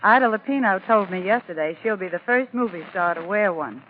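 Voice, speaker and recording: A man's voice: sits high, lively intonation, a little fast. A man giving scripted Hollywood gossip as a reporter in 1940s radio style. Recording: tinny, noisy 1940s radio.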